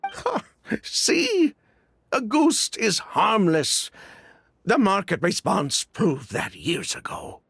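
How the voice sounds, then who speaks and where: A man's voice: high voice, wildly swooping pitch, slow pace, vocal fry, fairly bright tone, husky, no room sound, studio quality, heavily acted. An actor playing a frightened young nobleman who talks in nervous, stammering bursts. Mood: panicky. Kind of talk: game voice acting.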